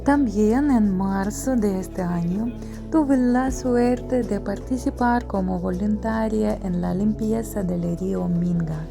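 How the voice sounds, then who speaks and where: A woman narrating her travel vlog as a scripted voice-over, reading slowly and carefully from a memorized translated script. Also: mid-range voice, creaky. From outside Paraguay. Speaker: travel vlogger. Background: faint background music.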